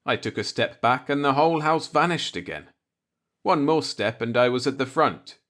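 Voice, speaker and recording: Man, audiobook narrator, medium pitch, scripted storytelling, light-hearted bedtime read-along. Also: animated, moderate pace, fairly bright tone.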